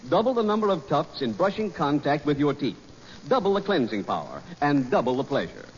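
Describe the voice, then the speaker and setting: Animated 1940s radio announcer, man. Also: a little high, brisk, a little hiss or hum. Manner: polished, emphatic salesman delivery. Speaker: radio announcer reading sponsor ads. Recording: muffled old radio recording, hall reverb.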